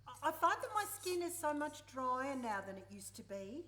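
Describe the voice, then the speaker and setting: A woman's voice: sits high, big pitch swings, normal speed, sharp tone, exaggerated voice. A woman acting a proud, flustered elderly country widow with a hesitant, fussy manner in a live radio play. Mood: meek, wistful. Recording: roomy, echoing live stage recording.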